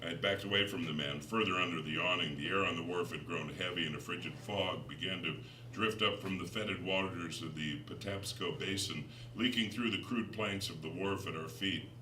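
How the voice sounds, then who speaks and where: A man's voice: low-pitched, brisk, few pauses. A man giving a dramatic literary narration, reading from a gothic novel as its author. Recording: roomy, distant, noisy recording.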